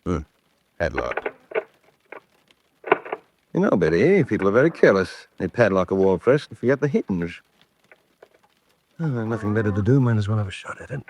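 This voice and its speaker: A man's voice: lowish voice, sing-song, moderate pace, long pauses, a little mellow. An actor playing a resourceful, wry man in a radio drama, muttering to himself as he works through a tight spot. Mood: wry, musing. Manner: muttering to himself, wry, clipped British turns of phrase. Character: resourceful trapped man muttering to his animal companion. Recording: faint background noise.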